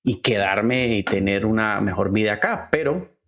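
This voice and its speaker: Colombian man, Cali accent, lowish voice, earnest, halting, filler-heavy storytelling. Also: expressive, a bit bright. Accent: Colombian Spanish from Cali. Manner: earnest, halting, with frequent "eh" fillers and slow deliberate stretches. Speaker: podcast host. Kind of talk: personal storytelling monologue.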